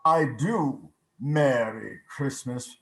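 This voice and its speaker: An actor playing a bitter, scornful old miser in a radio play, snapping and declaiming. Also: male voice, mid-range voice, extremely slow, vocal fry, a bit bright, exaggerated voice. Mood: scornful, indignant. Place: remote video call reading.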